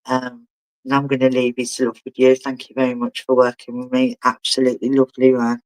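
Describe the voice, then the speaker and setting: Man, spiritual medium, live reading, halting delivery, checks in with the sitter, medium pitch, dry sound. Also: monotone, no room sound, rough recording. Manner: halting, relays messages and keeps asking the sitter to confirm.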